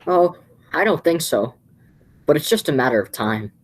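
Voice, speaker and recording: A woman's voice: low voice, expressive, normal speed, husky. A teenage girl playing an exasperated young man in an amateur radio play, theatrical and sardonic. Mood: flustered.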